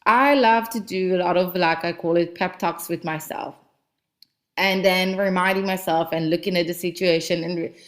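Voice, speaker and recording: A woman's voice: fairly low pitch, steady tone, normal speed, fairly bright tone. A woman whose first language is not English, hosting a solo motivational talk and chatting casually with many fillers. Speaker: podcast host and business coach. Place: lives in Cape Town.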